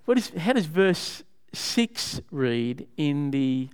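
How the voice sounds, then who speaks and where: A man's voice: on the high side, very animated, takes it slowly, clean tone. A man leading a Bible study, explaining scripture to a group in a conversational way and asking them questions. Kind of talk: interactive Bible teaching.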